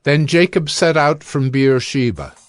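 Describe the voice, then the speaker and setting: A man narrating a dramatized scripture reading in a steady, even storytelling manner. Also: mid-range voice, measured pace.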